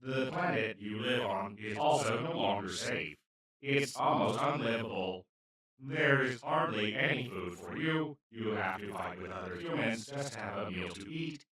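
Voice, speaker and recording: A man playing a kind, awkward alien in a comedic audio roleplay, speaking to the listener in a gentle, earnest, apologetic and slightly formal, rambling way. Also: on the low side, expressive, normal speed. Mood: apologetic, concerned. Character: kind, awkward, well-meaning male alien abductor. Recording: roomy, echoing, distant-sounding voice.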